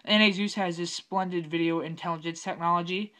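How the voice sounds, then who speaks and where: Raspy young man unboxing a monitor. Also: high-pitched, steady tone, a little fast, sharp tone. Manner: rambling, many fillers and self-corrections. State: slightly raspy from illness. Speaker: tech unboxing vlogger. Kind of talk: casual unboxing commentary. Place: home room at a desk.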